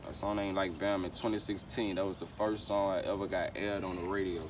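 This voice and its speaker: A man's voice: low-pitched, flat delivery, brisk. A young man, a rapper chatting casually in street slang in an interview, rambling and repeating his phrases. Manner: rambling, repeats phrases, frequent "you know what I'm saying". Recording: distant mic, clear outdoor traffic noise.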